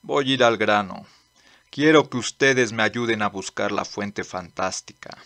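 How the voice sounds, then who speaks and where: Man voicing a gravelly, blunt old lawman. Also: on the low side, measured pace, sharp tone. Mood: earnest, firm. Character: blunt, earnest old lawman seeking monsters' help. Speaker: voice actor in a manga dub. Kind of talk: dramatic dubbing.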